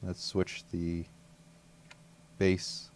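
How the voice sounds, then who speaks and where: A man's voice: deep voice, monotone, drawn-out words, heavy background noise. A man casually commenting while playing a video game, laid-back and muttering to himself. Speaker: let's play host.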